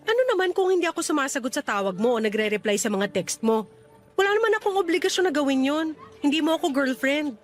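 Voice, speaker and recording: Lady acting snappy young woman, high voice, defensive and firm, brushing a guy off, radio drama. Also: lively intonation, fast. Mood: curt, defensive. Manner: curt, irritated, firm. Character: curt, defensive young woman rejecting a suitor. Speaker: voice actor in a radio-style love story.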